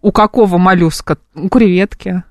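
A woman's voice: lowish voice, darkish tone, no echo, studio quality. A female radio co-host bantering on air, giggling often and joking at her own expense. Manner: giggly, self-mocking, quick quips. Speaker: radio morning show co-host. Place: radio studio.

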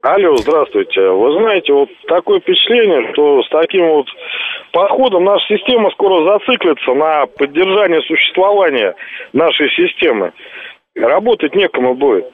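A man's voice: fairly high pitch, sing-song, normal speed. A man calling in to a radio show, making an earnest, questioning point. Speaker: radio caller. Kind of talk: phone-in comment. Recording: tinny phone line.

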